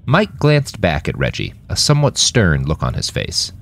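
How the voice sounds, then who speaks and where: Low-voiced, highly expressive male narrator. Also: normal speed, creaky. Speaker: author and narrator. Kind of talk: scripted fiction narration.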